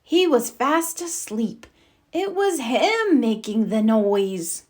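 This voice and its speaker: Slow, expressive woman storyteller. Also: on the high side. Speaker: children's storybook reader. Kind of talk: read-aloud scripted narration.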